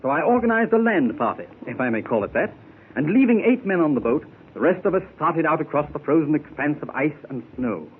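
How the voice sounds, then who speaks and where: A man plays a seasoned Arctic explorer captain, spinning a formal, measured yarn in a fairly high, lively voice. The sound is a thin, tinny 1930s radio transfer. A faint hiss runs under it. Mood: recounting. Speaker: club member telling his adventure. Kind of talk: 1930s radio drama acting.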